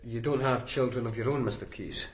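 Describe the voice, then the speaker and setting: An actor playing a blunt, persistent detective, dry and probing, in a naturalistic radio drama. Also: male voice, mid-range voice, a little fast, breathy edge, natural voice.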